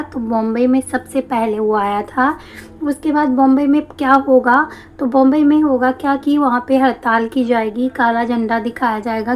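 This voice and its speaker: A woman teacher with a slightly hoarse throat, explaining a history lesson step by step and answering her own questions. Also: sits high. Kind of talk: exam-prep history lesson.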